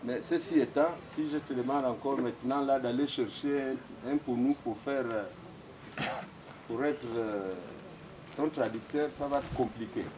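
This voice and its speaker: An older man with a Gabonese accent, an elder whose first language is Ipounou, making a halting formal speech at a customary wedding. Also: medium pitch, background noise. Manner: halting, searching for French words, many fillers.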